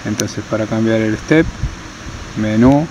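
A man's voice: on the low side, measured pace, dull audio, noisy background. A man giving a hands-on tutorial outdoors, explaining step by step and repeating key terms. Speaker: tutorial presenter. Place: outdoors on stony ground.

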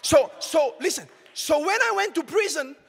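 A young male pastor preaches to a congregation in a big sanctuary, his high, bright voice carrying a gravelly edge. He swings from shouted calls to hushed asides. A long reverb rings around each phrase. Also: expressive, moderate pace. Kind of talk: sermon. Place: large church auditorium with congregation. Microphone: handheld mic.

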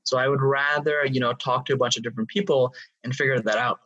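A man in his thirties from Hong Kong, a guest explaining his consulting work in a measured way with some fillers. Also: mid-range voice, fairly even intonation, fairly bright tone, far from the mic, lo-fi sound. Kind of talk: interview answers.

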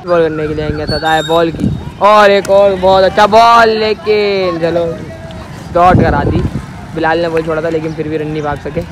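A man's voice: on the high side, steady tone, moderate pace, noisy background. A man giving ball-by-ball commentary on an amateur street cricket match, calling each delivery in a repetitive set pattern, recorded outdoors on a phone.

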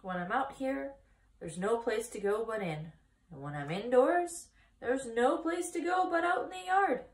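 A woman's voice: a little high, expressive, a little slow, roomy sound, pitched above the performer's own voice. A woman voicing a naive young male piglet from a children's storybook, plaintive, innocent and wistful. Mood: weary, complaining.

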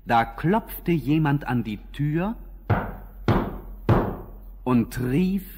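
A man narrating a scripted fairy tale as a calm, measured storyteller. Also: fairly high pitch, slightly rough, exaggerated voice.

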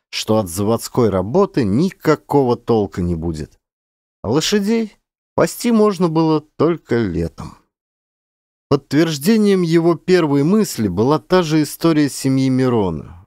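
A man reading literary prose as a dramatic audiobook narrator, expressively, with shifting emphasis and pauses. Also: fairly high pitch, darkish tone, no room sound, studio quality.

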